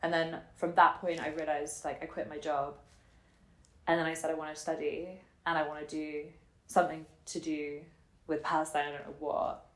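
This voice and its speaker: 20s woman w a low voice, telling her own story kinda haltingly, thinking out loud, bit of room echo. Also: little pitch movement. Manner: reflective, halting, thinks aloud with frequent "like". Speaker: interviewee telling her family and life story. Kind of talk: casual personal storytelling. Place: indoor room in Germany. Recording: roomy echo on the voice.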